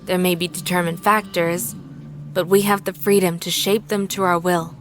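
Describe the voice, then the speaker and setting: A woman playing a composed, logical and literal character in an audio drama, speaking formally, with precise, measured phrasing. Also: lowish voice, level tone, faint music behind, lightly acted. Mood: coolly logical.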